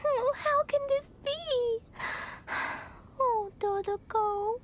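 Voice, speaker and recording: A woman's voice: very high-pitched, drawn-out words, a little hiss or hum, heavily acted. A woman voicing a cute, innocent little girl in a video game, with an earnest, childlike manner. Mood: downcast.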